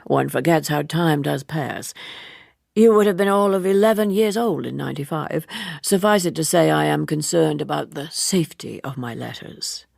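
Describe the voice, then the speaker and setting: Audiobook gentleman seducer played by a woman: urbane, amused, ironic, heavily creaky and husky. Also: low voice, normal speed, no room sound, studio quality, exaggerated voice. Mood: exasperated, genial. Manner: urbane, wordy, teasingly ironic. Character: suave, worldly gentleman, leisurely and amused seducer. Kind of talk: audiobook character dialogue.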